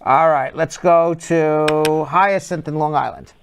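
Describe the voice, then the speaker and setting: A man hosting a live call-in advice show as an immigration lawyer, talking briskly and bluntly and repeating words as he cuts in on callers. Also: a little high, fairly even intonation, creaky, crowd noise. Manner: brisk, blunt, repeats himself when cutting in.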